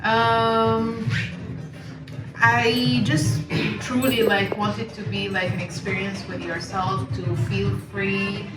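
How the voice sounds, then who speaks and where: Mujer sudanesa, amable, lejos del micrófono. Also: female voice, mid-range voice, flat delivery, slow. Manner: gentle, encouraging. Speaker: artist leading a painting session. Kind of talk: casual instruction to a group. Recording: echoey room, distant mic, loud music.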